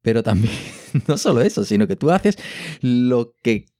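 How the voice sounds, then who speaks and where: A man's voice: medium pitch, big pitch swings, a little slow, gravelly, mellow, dry recording, studio quality. A man with an accent from Spain, enthusiastically explaining in a casual board game podcast chat, often checking in with tag questions. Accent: Spanish (Spain). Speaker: podcast co-host.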